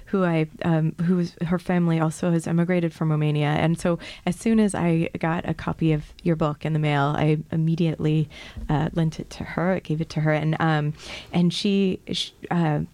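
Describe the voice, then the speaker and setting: A woman's voice: low voice, level tone, moderate pace, few pauses, dark tone, no echo. A woman interviewing an author, speaking eagerly with stumbling restarts. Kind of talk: conversational interview.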